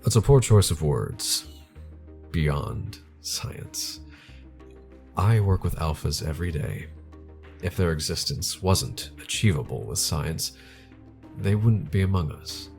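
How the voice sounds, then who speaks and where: An actor playing an arrogant male corporate scientist in an audio drama interview, speaking in a measured, formal, condescending way. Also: sits low, animated, creaky, darkish tone, faint music behind, exaggerated voice. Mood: cool, dismissive.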